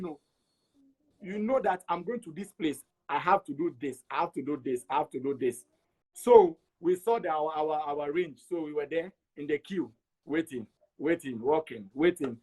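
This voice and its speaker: Higher-voiced Togolese man telling his story. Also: lively intonation, moderate pace, warm tone, breathy edge, rough recording. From Togo. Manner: repeats words for emphasis, acts out quoted dialogue. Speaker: interview guest. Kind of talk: animated personal storytelling.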